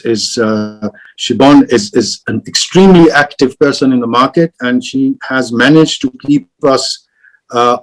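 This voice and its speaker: Halting man leading a video call panel. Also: mid-range voice, low-quality recording. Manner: hesitant, many fillers and restarts. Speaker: moderator of travel industry panel. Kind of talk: online panel discussion.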